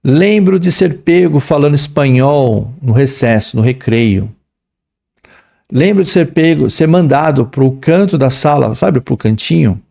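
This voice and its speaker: Chatty São Paulo teacher with gravelly voice. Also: male voice, mid-range voice, a little slow. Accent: São Paulo Portuguese. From São Paulo, Brazil. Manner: lively, chatty, slangy, reads English lines aloud then translates. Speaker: English teacher. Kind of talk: exam-prep lesson.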